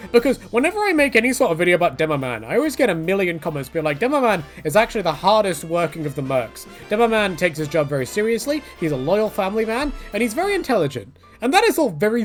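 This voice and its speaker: A man with a fairly high voice talks in a very animated, swooping way. He rants casually and with strong opinions, rambling and breaking into his own points with tongue-in-cheek asides. Music plays behind him. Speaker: host of a game-character rant video. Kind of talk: casual opinionated rant with quoted impressions.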